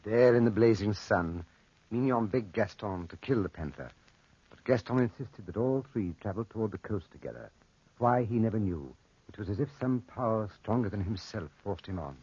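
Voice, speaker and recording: A man's voice: sits low, darkish tone, husky. A man narrating a desert tale as a grave, dramatic storyteller in scripted 1940s radio style. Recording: muffled vintage 1940s radio recording.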